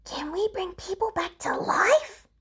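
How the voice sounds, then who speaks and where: A woman's voice: very high voice, sing-song, measured pace, bright, forceful tone, voice pushed up, heavily acted. A woman voicing a timid, curious, wide-eyed little girl in a story reading. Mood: whispered awe.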